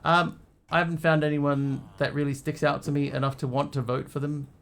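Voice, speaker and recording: A man's voice: on the high side, level tone, fairly quick, creaky, fairly bright tone. A man who grew up in Australia, chatting casually to his stream audience in a rambling, hesitant way with lots of ums and restarts. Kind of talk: casual live-stream chat.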